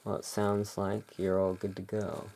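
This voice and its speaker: A soft-spoken ASMR performer playing the relaxed owner of a gothic curiosity shop in a soothing, unhurried manner. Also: low voice, gravelly, a little hiss or hum.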